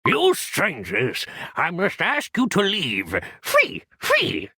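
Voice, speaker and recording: A man playing a wary village elder in a game cartoon, gentle but firm, weary and cautioning in a husky voice. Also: sits high, huge pitch swings, slow pace, no echo, studio quality, heavily acted. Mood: stern, wary.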